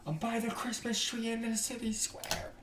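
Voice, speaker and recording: A man's voice: extremely high pitch, completely flat delivery, normal speed, background noise, voice pushed up. A man voicing a guarded, sardonic young woman with emotional delivery in a visual novel. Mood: urgent. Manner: snarky, emotional. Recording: roomy echo over guitar music.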